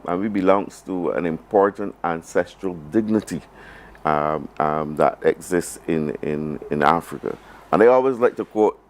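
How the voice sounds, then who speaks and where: A man with a Barbadian accent answering as an interview guest, speaking haltingly and repeating phrases for emphasis. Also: low voice, a little hiss or hum. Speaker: government program advisor, interview guest. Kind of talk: live broadcast interview.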